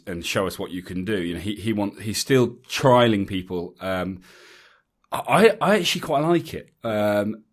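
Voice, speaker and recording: An English man hosting a rugby podcast, chatting casually and rambling with frequent restarts and ums. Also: fairly low pitch. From England. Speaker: podcast host. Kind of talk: casual chat.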